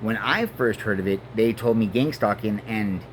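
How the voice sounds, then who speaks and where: A man in his forties from Mexico and Colorado, a native Spanish speaker, talking in a halting, earnest personal monologue full of fillers and restarts. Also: on the low side, a little hiss or hum, poor audio. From Mexico and Denver, Colorado. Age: 40s. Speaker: vlogger. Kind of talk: rambling personal monologue to camera.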